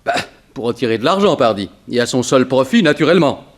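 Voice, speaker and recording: An actor playing a cagey, indignant former burglar with a grudge, slangy and protesting, in 1960s French radio drama style. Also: male voice, mid-range voice, animated, quick pace, lightly acted. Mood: sardonic, aggrieved.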